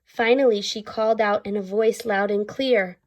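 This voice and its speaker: A woman reading a children's story aloud expressively, like a teacher, pausing to explain and ask the young listeners questions. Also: medium pitch, steady tone, highs cut off.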